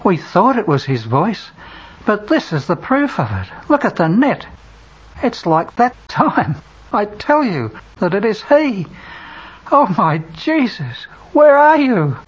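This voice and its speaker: A man voicing an ardent, excitable young male apostle, his husky voice pushed high and eager. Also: takes it slowly, full character voice, low-quality recording. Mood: eager, excited.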